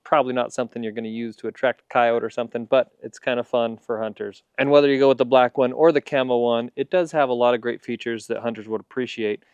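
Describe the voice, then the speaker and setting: An American man in his thirties presents a casual gear review in a smooth, clear voice. He explains things conversationally, and the sound is dry and close, with little room echo. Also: mid-range voice, fairly even intonation, quick pace, few pauses, darkish tone, muffled recording. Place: outdoors in a leafy backyard.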